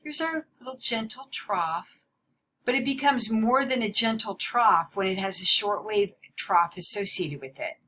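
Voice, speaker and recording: A woman giving an explanatory slide lecture as a teacher, stressing key words in a deliberate way. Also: medium pitch, lively intonation, pushed and bright, slight background noise.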